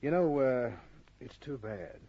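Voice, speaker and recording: Husky hard-boiled detective. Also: male voice, mid-range voice, expressive, unhurried, mellow. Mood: flirty, sardonic. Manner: hard-boiled, dry, clipped. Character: tough, flirtatious wisecracking male private detective. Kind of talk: old-time radio crime acting and narration. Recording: muffled old radio recording.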